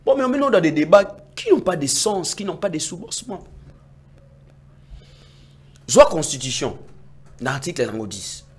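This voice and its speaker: A man arguing about politics in a heated debate, emphatic and sometimes nearly shouting. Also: a little high, very animated, moderate pace, pauses often, breathy edge. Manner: emphatic, rising to near-shouts when making points. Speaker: political commentator.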